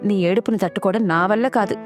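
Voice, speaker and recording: A woman's voice: mid-range voice, fast, over music, natural voice. A woman voicing a sleepy, grumbling parrot husband in a cartoon story, put-upon and reluctant. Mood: reluctant, exasperated.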